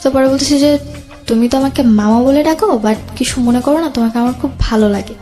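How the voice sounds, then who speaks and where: Young woman, high voice, hesitant personal storytelling, interview guest, background music. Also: a little fast, mellow. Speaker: guest telling own life story.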